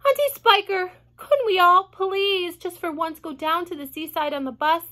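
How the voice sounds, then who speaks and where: A woman's voice: very high voice, normal speed, bright, forceful tone, pitched above the performer's own voice. A woman voicing a small, lonely boy in a storybook reading, pleading in a tearful way.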